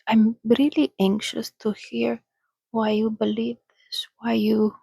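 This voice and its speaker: Woman softly reading as an eager, breathy young man. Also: fairly high pitch, completely flat delivery, slow, dry recording, exaggerated voice. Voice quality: breathy, soft. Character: eager, nervous young male interviewer. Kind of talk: soft ASMR storybook reading.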